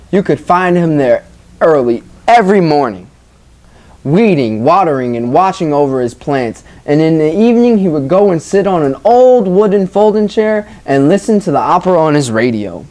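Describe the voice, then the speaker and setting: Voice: medium pitch, big pitch swings, moderate pace, a little mellow. A teacher reading a story aloud expressively to children, stopping to ask them questions in an informal, engaging way. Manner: engaging, informal, addresses listeners as guys. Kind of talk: expressive read-aloud with questions to young listeners.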